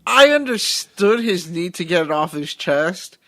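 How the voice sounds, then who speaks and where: A man with a fairly high voice talks through a movie in relaxed podcast banter. He swears freely and often agrees with his co-host. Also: unhurried. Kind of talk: casual movie chat.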